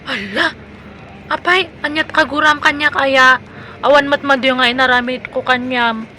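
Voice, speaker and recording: A woman's voice: high-pitched, fairly even intonation, normal speed, sharp tone, a little hiss or hum, low-quality recording. A Filipino woman playing a lovestruck, persistent young single villager in a comedic radio drama, pleading and coy.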